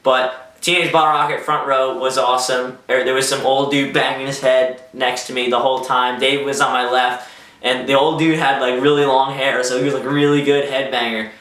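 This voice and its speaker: Bright-voiced young man in a roomy space. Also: mid-range voice, level tone, brisk. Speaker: vlogger telling a personal story. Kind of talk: casual storytelling vlog. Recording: roomy echo, distant microphone.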